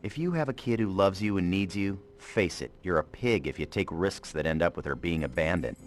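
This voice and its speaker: Adventure hero: low-pitched, sarcastic, exasperated, lively inflection for a game cutscene. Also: male voice, fairly quick, faint music behind. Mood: dry, exasperated. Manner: dry, sarcastic. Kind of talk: video game cutscene acting.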